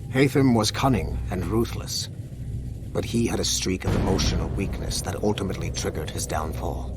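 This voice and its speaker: A cold zealot narrating for a video game. His voice is deep, with formal, severe and measured delivery. Loud noise rumbles behind him. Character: cold, solemn male zealot recounting history with disdain. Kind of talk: character monologue, scripted narration.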